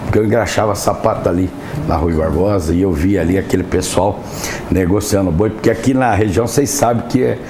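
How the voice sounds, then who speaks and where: A man's voice: deep voice, brisk. A man from Brazil with a São Paulo interior accent, a cattle breeder being interviewed, earnestly telling his life story. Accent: Brazilian Portuguese, São Paulo interior. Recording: echoey studio with background noise.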